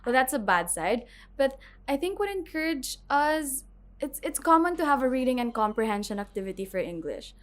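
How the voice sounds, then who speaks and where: A woman's voice: sits high, sing-song, moderate pace. A young woman from the Philippines, a student whose first language is Filipino, chatting casually in an interview with lots of "like" fillers. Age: young adult. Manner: chatty, with many "like" fillers and light laughs. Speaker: guest student.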